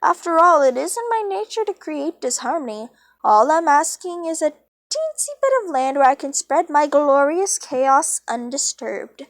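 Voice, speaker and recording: A woman's voice: high-pitched, sing-song, a little slow, no room sound, pitched above the performer's own voice, exaggerated voice. A woman voicing a teasing, theatrical male chaos creature villain from a storybook, with a mischievous, mocking manner. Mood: bargaining, grandiose.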